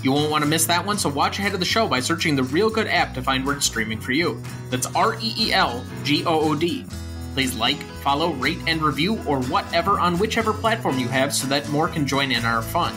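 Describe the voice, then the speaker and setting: A young man hosting a podcast, talking about films in earnest, explanatory chat and reading out a brisk closing script. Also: fairly high pitch, expressive, music in the background. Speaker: podcast host.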